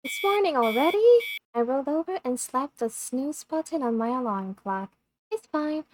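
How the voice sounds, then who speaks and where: High-voiced woman reading a visual novel aloud. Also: expressive, moderate pace, very noisy. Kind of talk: dramatic read-aloud of a game script with commentary. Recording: game music behind voice.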